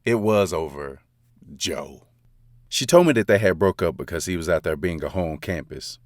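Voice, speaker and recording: A man's voice: sits low, expressive, normal speed, no echo, clean studio sound. A man narrating a first-person romance story in a casual, confiding, slangy way. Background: faint background music.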